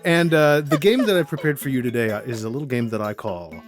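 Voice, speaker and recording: A man's voice: medium pitch, fast talker, soft background music. A man hosting a casual podcast chat, introducing guests with playful jokes. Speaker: podcast host.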